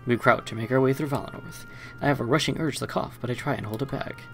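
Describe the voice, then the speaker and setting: Brisk, gravelly male let's play host. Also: medium pitch, faint music behind. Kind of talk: reading game narration aloud with asides.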